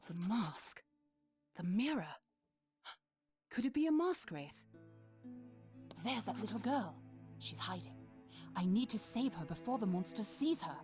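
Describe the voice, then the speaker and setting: Wary woman monster hunter over music. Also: mid-range voice. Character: Sylvia, young female monster hunter, brave and wary. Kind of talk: video game voice acting. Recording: music behind the voice.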